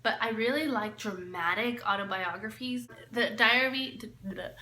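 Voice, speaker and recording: A young woman from El Salvador tells random facts about herself in a relaxed, rambling vlog. Her voice is bright and slightly high, with easy laughter between thoughts. The room sounds echoey, with a faint hiss behind her. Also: a little slow. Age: 20s. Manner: chatty, laughs often, trails off with "so". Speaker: lifestyle vlogger. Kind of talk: casual get-to-know-me chat.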